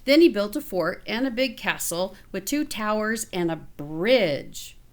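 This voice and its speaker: A woman teacher reading a picture book aloud to children, expressively and with casual asides. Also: mid-range voice. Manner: expressive, pausing to comment to children.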